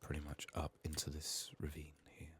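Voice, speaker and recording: A man doing soft-spoken, often whispered ASMR game commentary, muttering to himself with a voice strained by illness. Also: extremely low pitch, completely flat delivery, normal speed, husky. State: sick, resting a strained voice. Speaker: video game streamer.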